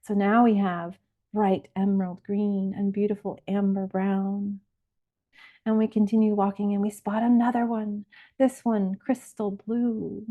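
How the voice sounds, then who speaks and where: A woman leading a guided visualization as a relaxation guide, speaking in a soothing, unhurried way. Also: medium pitch. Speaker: laughter yoga leader, meditation guide. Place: live online or group session recording. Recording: band-limited, phone-like sound.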